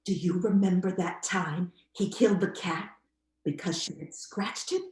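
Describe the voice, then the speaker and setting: An actress playing an indignant, inquisitive middle-aged sister in an old-time radio gothic drama, with pressing, gossipy questions. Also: female voice, fairly low pitch, moderate pace, darkish tone, echoey room, close to an everyday voice. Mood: prying.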